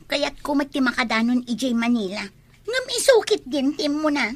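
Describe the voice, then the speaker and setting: A woman's voice: high-pitched, big pitch swings, normal speed, no echo. A young woman playing a whiny, ambitious daughter in an Ilocano-accented radio drama. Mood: whiny, defiant.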